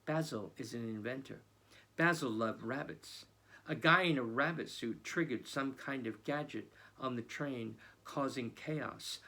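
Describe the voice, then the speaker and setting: A male children's book author reading his own novel aloud in an expressive storytelling manner. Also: medium pitch, unhurried.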